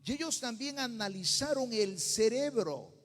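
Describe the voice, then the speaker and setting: A man's voice: very high-pitched, animated, unhurried, long reverb tail. A male pastor with a Peruvian Spanish accent, preaching a sermon with rising intensity and bursts of speaking in tongues. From Peru. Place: church sanctuary.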